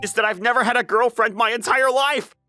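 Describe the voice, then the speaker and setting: A man playing a flustered, introverted high school boy and aspiring writer in an anime-style comic dub, animated and prone to exasperated lecturing. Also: a little fast, faint music behind, full character voice. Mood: exasperated, frantic.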